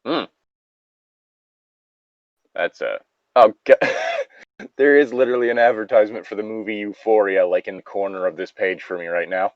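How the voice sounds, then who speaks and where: A low-voiced man reads a story aloud with very lively intonation. He drops in chatty, joking asides as he goes. The sound is close and dry, a little dull and lo-fi. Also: normal speed, pauses often, no room sound. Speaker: co-host reading stories aloud. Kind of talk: story reading with casual banter.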